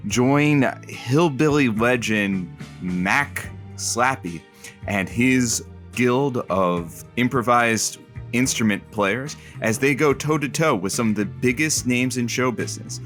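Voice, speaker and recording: A man's voice: mid-range voice, a little slow, a bit bright, faint music behind, lightly acted. A man playing a wry radio co-host and announcer in a comedic audio drama, with dry humour and some hesitation. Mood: wry, announcing.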